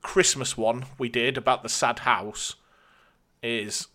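A man's voice: medium pitch, steady tone, slow pace, bright, forceful tone. A British man co-hosting a podcast, chatting in an informal, jokey way. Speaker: podcast co-host. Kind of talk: casual chat.

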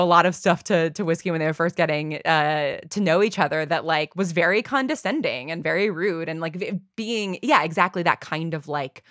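Lowish American woman, dry close sound. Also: a bit bright, dry recording. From New York, USA. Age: 30s. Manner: rambling, conversational, self-reflective. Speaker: podcast guest, audio drama writer. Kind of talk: casual interview chat.

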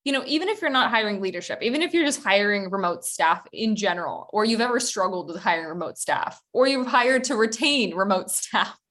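A woman's voice: mid-range voice, lively intonation, brisk, hardly any pauses, a bit bright. Chatty woman consultant on a video call. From Ukraine. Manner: chatty, with frequent small laughs and restarts. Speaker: recruitment consultant. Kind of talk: conversational webinar presentation.

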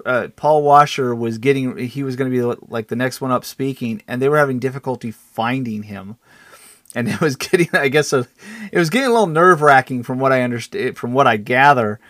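A man with a mid-pitched voice chats as a podcast co-host. He rambles through stories in a halting way, interrupting himself and chuckling as he goes. The sound is close and dry. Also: a bit bright, no room sound. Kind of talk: casual chat and storytelling.

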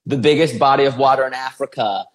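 A man's voice: mid-range voice, quick pace. A man co-hosting a podcast, chatting casually and teasing his co-host with frequent laughter. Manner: playful, teasing, laughs often. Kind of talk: casual chat, reviewing reality show contestants.

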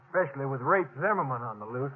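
An actor playing an excitable, rough-talking man of the Old West in a 1950s radio drama, with folksy frontier speech. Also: mid-range voice, fast talker. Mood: uneasy. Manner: folksy, animated frontier talk. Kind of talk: 1950s radio western acting. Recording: tinny, noisy 1950s radio recording.

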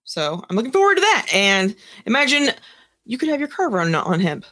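A woman's voice: fairly high pitch, very animated, fairly quick, bright, forceful tone. A woman co-hosting a podcast, explaining a story in an informal, chatty way. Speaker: podcast co-host. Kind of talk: casual podcast chat, explaining a news story.

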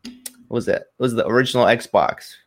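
A man from Iraq who moved to Canada, chatting casually as an interview guest, relaxed and colloquial with many filler phrases. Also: medium pitch, fairly quick. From Iraq, moved to Canada in 2003. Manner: relaxed, colloquial, frequent 'like' and 'you know'. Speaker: esports pro, interview guest.

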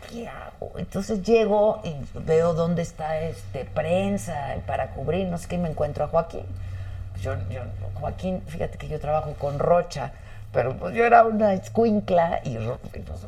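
Low-voiced Mexican woman host. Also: lively intonation, moderate pace, warm tone, poor audio. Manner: frank and slangy, chimes in with quips. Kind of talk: casual chat.

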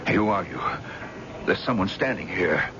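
An actor playing a gruff, suspicious, belittling husband in an animated, theatrical 1970s radio drama style. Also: male voice, low-pitched, normal speed. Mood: irritated. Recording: dull, noisy old radio broadcast.

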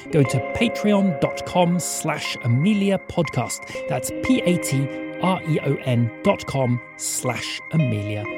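A woman reading scripted podcast credits and an appeal to listeners as the show's announcer. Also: sits low. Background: music under the voice.

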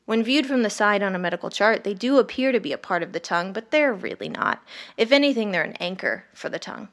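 A woman playing a detached, morbidly curious ER doctor, narrating a horror audio drama in a measured, wry, clinical way. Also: mid-range voice, big pitch swings.

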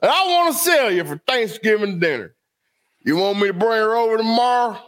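A man's voice: high voice, animated, a little fast, fairly bright tone. A man playing a folksy, pushy turkey seller with a drawling rustic patter.

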